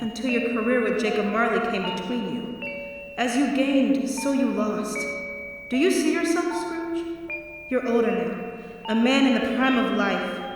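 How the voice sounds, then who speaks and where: A woman's voice: a little high, expressive, unhurried. A woman playing a ghostly spirit guide in an old-time radio play, with theatrical, pointed questioning and a taunting, stern temper. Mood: stern, reproachful. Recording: echoey hall, distant, music behind.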